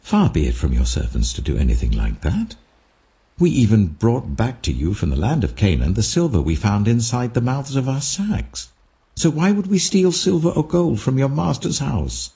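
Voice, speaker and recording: A man's voice: mid-range voice, gravelly, warm tone, pitched above the performer's own voice. A man voicing an indignant servant who emphatically protests his innocence in a dramatic scripture reading.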